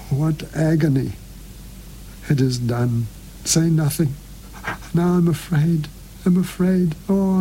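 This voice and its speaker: A man's voice: fairly high pitch, dark tone, slight background noise, voice pushed up, poor audio. A man reading aloud as a frightened, dying elderly woman who confesses in broken, pleading phrases. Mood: fearful pleading.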